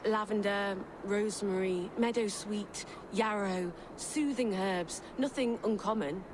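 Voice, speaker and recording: Female actor: kindly herbalist, polite and soft-spoken, ambient noise behind. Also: medium pitch, a little slow, close to an everyday voice. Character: gentle older woman herbalist, kindly. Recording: game audio with clear ambient noise.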